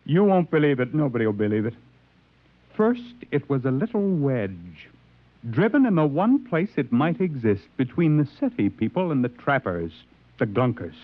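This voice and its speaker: An actor playing a tense, nervous little consul full of dread, in 1950s radio drama style. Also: male voice, medium pitch, sing-song, moderate pace, dry recording. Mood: grim, haunted. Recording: tinny, dry old radio recording.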